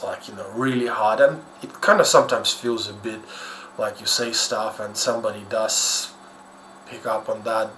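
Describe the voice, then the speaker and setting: A man's voice: mid-range voice, fairly bright tone. Echoey, rambling Ukrainian-accented man. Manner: rambling, hesitant, self-deprecating, blunt. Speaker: YouTuber host. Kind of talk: casual unscripted commentary over a map. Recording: echoey room with faint background noise.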